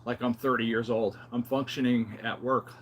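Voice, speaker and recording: A man's voice: medium pitch, flat delivery, moderate pace, slight background noise. A man in his fifties talking casually and unscripted as a health vlogger, in a plain-spoken, rambling, conversational way. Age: 50s. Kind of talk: casual unscripted vlog talk.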